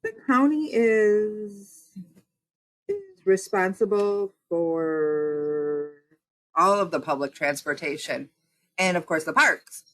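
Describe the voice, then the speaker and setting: A woman's voice: on the low side, lively intonation, slow, pauses often, fairly bright tone, poor audio. A woman who is a county supervisor and state representative, answering interview questions and explaining things step by step in lists.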